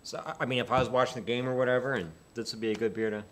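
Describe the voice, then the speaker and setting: A man in his thirties co-hosting a beer review, talking in a relaxed, musing way with many fillers and restarts, seated in a small indoor studio. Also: mid-range voice, rapid-fire. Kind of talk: casual tasting chat. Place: small indoor studio set with dark curtain.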